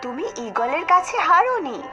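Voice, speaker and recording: Lively, high-voiced cartoon mother, voiced by a woman. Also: moderate pace, highs cut off. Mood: encouraging. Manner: gentle and reassuring, lively storybook telling. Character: patient, encouraging mother, to children and as a mother sparrow. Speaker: storyteller. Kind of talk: cartoon dubbing and storybook narration. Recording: background music under the voice.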